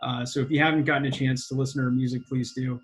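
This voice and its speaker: A man's voice: medium pitch, little pitch movement, fast talker. A man hosting an online panel discussion over a video call, upbeat and encouraging as he hands the floor around. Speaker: panel moderator.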